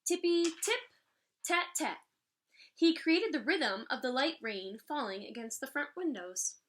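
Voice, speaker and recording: A woman's voice: sits high, measured pace. A woman in her thirties, a music teacher, reading a picture book aloud to children expressively and explaining it as she goes. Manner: expressive, instructive. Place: living room at home, indoors. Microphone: device mic about arm's length away.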